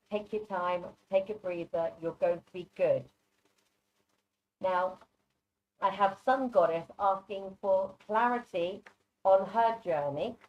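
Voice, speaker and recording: A woman with a mixed English and Norwegian accent giving informal live psychic readings in a chatty, direct, reassuring way. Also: medium pitch. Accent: mixed English with Norwegian influence. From born in England, grew up largely in Norway. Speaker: psychic card reader, guest presenter. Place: online video call. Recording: muffled, soft online microphone.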